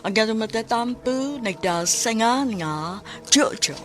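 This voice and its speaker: Female radio drama presenter, Cebuano accent, unhurried delivery, music underneath. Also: a little high, animated. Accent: Cebuano Filipino.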